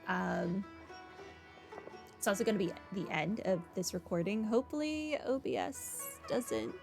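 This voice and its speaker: A woman streams a video game with casual commentary. Her voice sits fairly high and she speaks at a slow, unhurried pace. Game music plays underneath.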